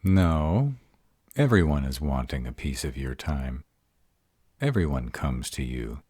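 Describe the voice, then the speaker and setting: A man's voice: very deep voice, expressive, slow pace, darkish tone, slightly rough, dry recording, studio quality, heavily acted. A man playing a sarcastic, insecure young vampire in an audio roleplay, with a drawling manner. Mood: meek.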